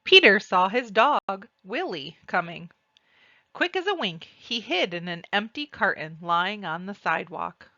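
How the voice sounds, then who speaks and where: A woman reading a children's storybook aloud and describing its pictures, with deliberate, expressive phrasing. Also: medium pitch, dry recording, highs cut off. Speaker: read-aloud narrator.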